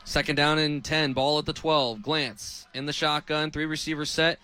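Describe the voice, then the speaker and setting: Young american guy doing football play by play on radio, high pitched and really forceful voice. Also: flat delivery, normal speed. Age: 20s.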